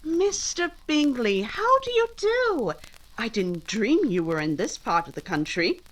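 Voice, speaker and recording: A woman acting a witty, independent young gentlewoman in a 1940s-style radio drama, poised and emphatic. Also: on the high side, big pitch swings, measured pace, low-quality recording. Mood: delighted.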